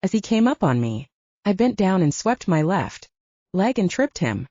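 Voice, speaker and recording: Dark-voiced woman narrator, lively pitch swings. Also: moderate pace, slightly rough, no room sound, dull audio. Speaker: audiobook narrator. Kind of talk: scripted first-person fiction narration.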